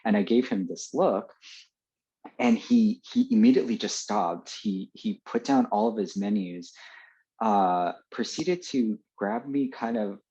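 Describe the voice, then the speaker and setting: A man with an American accent, a podcast guest telling stories in a loose, chatty way, full of fillers and quick laughs. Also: fairly low pitch, dark tone, breathy edge. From Los Angeles, USA. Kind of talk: casual storytelling. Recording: dull lo-fi sound.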